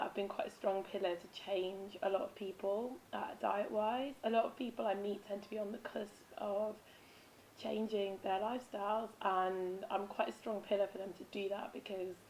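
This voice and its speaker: A woman with a raspy, hoarse voice, chatting casually in a vlog about spiritual habits, with frequent ums and restarts. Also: mid-range voice, darkish tone, a little hiss or hum, poor audio. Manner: rambling, with many ums and self-corrections. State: hoarse, losing her voice. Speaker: vlogger.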